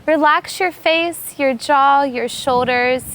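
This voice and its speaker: A woman yoga teacher leading a guided meditation, giving calm, unhurried, soothing instructions. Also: sits high.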